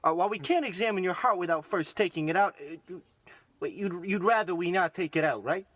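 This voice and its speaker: A man's voice: sits high, lively intonation, brisk, fairly bright tone, dry recording. A man playing a fussy, oddball doctor in a comic old-time radio style, hesitant and stammering, then grandly pronouncing. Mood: flustered. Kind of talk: parody of old radio drama acting.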